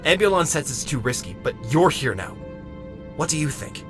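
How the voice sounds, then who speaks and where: A man's voice: on the high side, big pitch swings, moderate pace, soft background music. A man voicing an earnest, eager robot medic in an audio drama, chatty and explanatory. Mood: eager, insistent.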